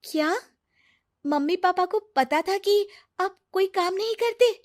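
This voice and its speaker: A woman's voice: extremely high pitch, measured pace, voice pushed up. A woman voicing a struggling young wife and mother in a cartoon story, earnest, emotional and determined. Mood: distressed, pleading.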